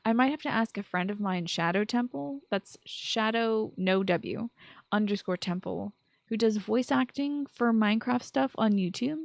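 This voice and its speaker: A woman's voice: a little high, moderate pace, vocal fry, no echo. A woman hosting a video game podcast, speaking in an informal, enthusiastic way that mixes chat with scripted commentary. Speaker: podcast host.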